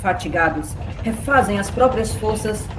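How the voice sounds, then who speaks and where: A woman's voice: mid-range voice, animated, a little slow, roomy sound, background noise. A woman narrating a scripted dramatic story as the narrator of an audio drama. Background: music.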